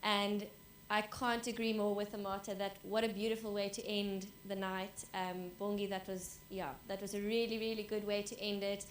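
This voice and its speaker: A woman's voice: medium pitch, slight background noise. A South African woman closing a live panel evening with thanks, chatty and full of ums. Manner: grateful, chatty, with many ums. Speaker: event organiser closing the evening. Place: lounge venue at a wine estate. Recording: echoey room recording.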